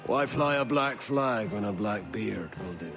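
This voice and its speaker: Slow-talking, hearty pirate captain. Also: male voice, lowish voice, lively intonation. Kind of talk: video game cutscene acting. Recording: music behind the voice.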